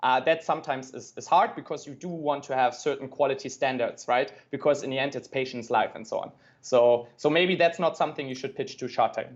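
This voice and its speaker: A man's voice: medium pitch, brisk, hardly any pauses, lo-fi sound. A man from Germany with a German accent, speaking as an interview guest, earnest and often adding "right?". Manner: earnest, frequent "right?" tags. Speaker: guest, tech company founder. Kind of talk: interview conversation.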